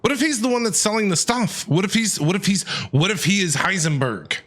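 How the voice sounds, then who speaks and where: A man's voice: high voice, lively intonation, brisk, fairly bright tone. A man reacting live as a game streamer, playful, jokey and theatrical in his commentary.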